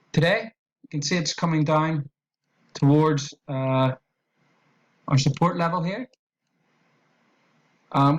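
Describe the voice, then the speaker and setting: A man's voice: mid-range voice, highs cut off. A man with an Irish accent talking through a trading tutorial on screen, in a loose, repetitive way with fillers and restarts. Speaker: trading educator.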